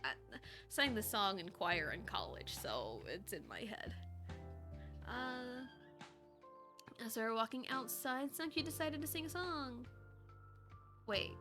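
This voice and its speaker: A woman livestreamer chatting and reading aloud casually, expressive and exclamatory, often interrupting herself. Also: on the high side, slow pace, pauses often, music in the background. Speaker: streamer reading fanfiction aloud.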